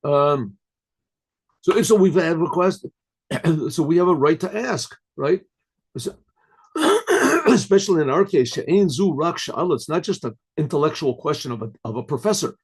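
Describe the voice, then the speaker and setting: A man teaching an informal Torah class as a rabbi, talking in a conversational way with rhetorical questions and often clearing his throat. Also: a little high, expressive, normal speed.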